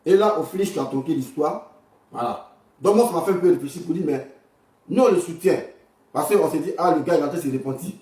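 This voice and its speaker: An Ivorian man with a fairly high voice talks off the cuff on a livestream. He rambles, repeats himself and is bluntly crude. The sound is roomy and a little far from the mic. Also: fast, darkish tone. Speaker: livestream host. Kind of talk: informal livestream political commentary. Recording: echoey room, distant from the mic.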